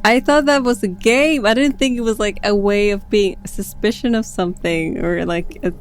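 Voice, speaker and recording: A woman with a Swedish accent co-hosting a podcast, chatting casually and reacting with quick, disbelieving questions full of "like". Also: medium pitch, soft background music. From Sweden. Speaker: podcast co-host. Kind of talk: casual chat.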